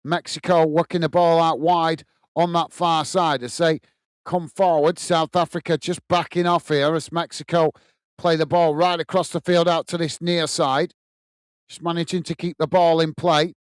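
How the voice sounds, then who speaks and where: A man's voice: a little high, level tone, moderate pace, clear, resonant tone, no echo, clean studio sound. An English man hosting a live football watchalong, calling the play steadily and reading out chat comments. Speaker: watchalong host.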